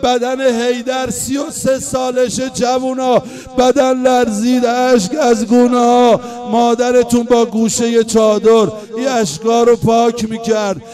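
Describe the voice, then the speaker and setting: High-voiced man chanting a mourning lament. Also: level tone, dark tone, faint music behind. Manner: emotional, wailing, half-sung lament. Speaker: religious eulogist.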